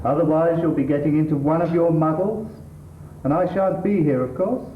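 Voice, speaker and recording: Sardonic middle-class English husband played by a man, lightish pitch, exasperated, theatrical; tinny, distant stage recording. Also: normal speed, roomy sound, a little hiss or hum, natural voice. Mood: lecturing. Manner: exasperated, pedantic, dry sarcasm. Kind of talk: theatrical stage comedy acting.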